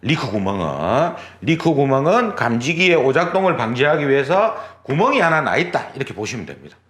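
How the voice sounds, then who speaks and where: A man's voice: medium pitch, sing-song, a little fast, a bit bright. A man teaching an exam prep class, explaining step by step while drawing, repeating key terms and checking in with his students. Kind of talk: lecture, drawing on a board. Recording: echoey classroom.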